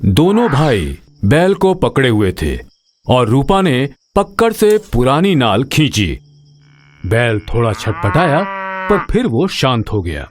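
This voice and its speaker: A man narrating a scripted children's story in an expressive storytelling manner. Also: mid-range voice, takes it slowly, soft background music.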